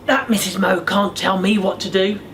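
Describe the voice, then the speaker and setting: A man's voice: high voice, fairly even intonation, normal speed, fairly bright tone. A man voicing a stubborn little male monster in a storybook, with emphatic, grumbling delivery. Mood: defiant, grumbling. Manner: roaring, grumbling, emphatic chanting. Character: small blue monster, male, stubborn and roaring. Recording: faint background noise.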